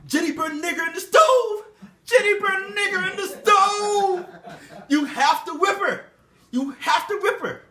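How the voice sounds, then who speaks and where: A man puts on a plantation mistress who screeches in a high, piercing voice. The sound is bright and frantic. The room adds a clear echo. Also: a little slow, distant mic, heavily acted. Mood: shrieking. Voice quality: shrill, screeching. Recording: echoey room.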